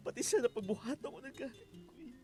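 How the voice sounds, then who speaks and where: An actor playing a guilt-ridden fugitive father in a Filipino radio drama, halting and emotional. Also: very high voice, expressive, a little mellow, soft background music. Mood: remorseful, anguished. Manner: halting, repeats phrases, emotional.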